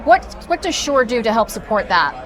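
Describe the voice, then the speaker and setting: A woman's voice: a little high, big pitch swings, a little fast. A woman interviewer speaking conversationally in a trade show interview. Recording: crowd noise of trade show floor.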